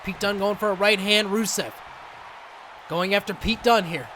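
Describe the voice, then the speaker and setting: A young man doing excitable play-by-play commentary over a wrestling video game, coughing and saying he is losing his voice. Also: high-pitched, normal speed, background noise. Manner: excitable, rising to shouts at big moments. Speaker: gaming channel host and commentator.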